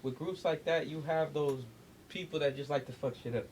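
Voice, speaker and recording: A man's voice: on the high side, fairly even intonation, normal speed, a little mellow, a little hiss or hum. A man in a casual podcast chat, talking loosely in slang with lots of fillers.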